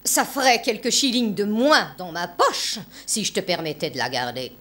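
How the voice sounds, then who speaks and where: A woman's voice: medium pitch, sing-song, normal speed, pushed and bright. A woman voicing a stern, greedy, haughty headmistress in a cartoon drama, speaking in formal, severe phrases. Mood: spiteful. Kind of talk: animated drama dubbing.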